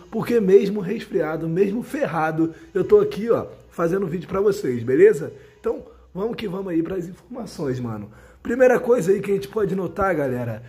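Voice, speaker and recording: Hoarse young Brazilian man with a cold. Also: sits high, warm tone. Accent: Brazilian Portuguese. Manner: slangy, chatty, addresses viewers as bro. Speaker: gaming channel host. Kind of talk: casual gaming news update. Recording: faint music behind.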